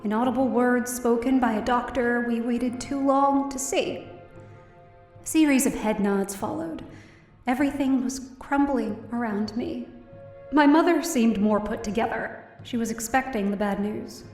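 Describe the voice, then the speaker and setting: Troubled young woman, highish voice, first-person drama narration, roomy and slightly distant, music behind. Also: measured pace, darkish tone. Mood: numb, anguished. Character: distressed, down-on-her-luck young woman telling her story. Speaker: lead actor in audio drama.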